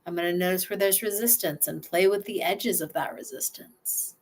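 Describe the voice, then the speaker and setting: A woman leading a slow guided relaxation exercise, speaking gently in the first person and pausing for breaths and sighs. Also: on the low side.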